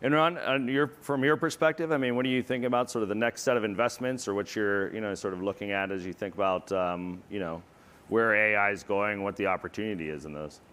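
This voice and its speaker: A man's voice: on the low side, a little fast, fairly bright tone, smooth. A man moderating a panel, asking loose, meandering questions in a conversational way.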